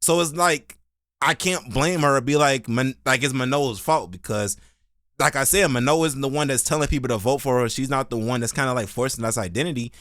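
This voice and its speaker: A man's voice: medium pitch, a little fast, fairly bright tone, dry recording, clean studio sound. An American man giving casual reaction commentary in a slangy, opinionated, rambling way. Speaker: reaction video host.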